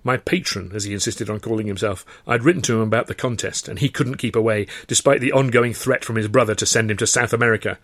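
A man's voice: lowish voice, fast talker, few pauses, a bit bright, no echo. Man narrating an audiobook, dry close sound. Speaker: author reading his own novel, narrator. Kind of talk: scripted first-person audiobook narration.

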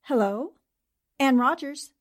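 An actress playing a spirited, indignant newspaper columnist in a theatrical 1940s radio-drama style. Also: female voice, high-pitched, slow, full character voice. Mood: anxious. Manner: expressive, theatrical. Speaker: actor in an audio drama.

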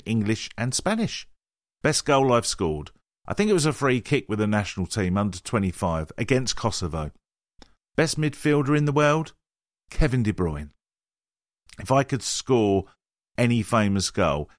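A man's voice: lowish voice, no room sound, clean studio sound. A man reading a player question-and-answer piece aloud, lifting each prompt and dropping into the answers. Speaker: reader.

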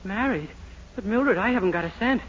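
Naive young boyfriend, fast talker, sheepish, 1940s radio sitcom, faint background hiss. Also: male voice, high-pitched, expressive, a bit bright. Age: young adult. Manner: earnest, sheepish. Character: naive, timid, boyish young sweetheart. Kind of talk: 1940s radio comedy acting. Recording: dull, faintly noisy old radio recording.

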